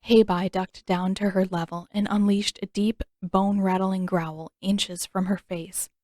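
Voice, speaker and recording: A woman's voice: medium pitch, flat delivery, unhurried, darkish tone, no room sound, studio quality. A woman reading scripted fiction as an audiobook narrator.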